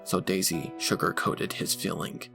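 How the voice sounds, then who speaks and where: A man's voice: sits low, little pitch movement, normal speed, gravelly. A man reading scripted fan fiction as a dramatic narrator. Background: background music.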